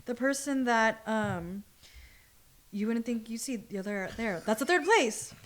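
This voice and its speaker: Young female, slightly high pitch, informal and slangy, relating stories in easy conversation. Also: lively intonation, unhurried, fairly bright tone. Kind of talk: casual chat.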